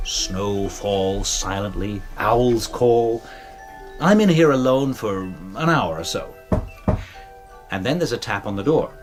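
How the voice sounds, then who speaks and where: An actor in a radio drama playing a theatrical stage magician with showy, commanding patter. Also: male voice, on the low side, lively intonation, takes it slowly, music in the background. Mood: intent, commanding. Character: theatrical stage magician re-enacting a murder for onlookers.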